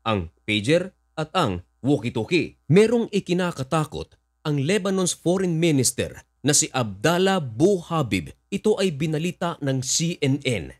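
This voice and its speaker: Filipino male news commentator, slightly high voice, explanatory, speaks straight to his audience. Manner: addresses listeners directly, explanatory. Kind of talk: scripted news narration with commentary.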